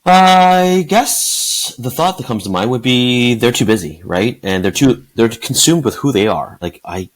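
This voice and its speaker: A man in his forties who is the guest in an interview, answering in a thoughtful, halting way. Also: medium pitch, lively intonation. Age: 40s. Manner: thoughtful, halting, many fillers and restarts. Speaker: guest, sales leader.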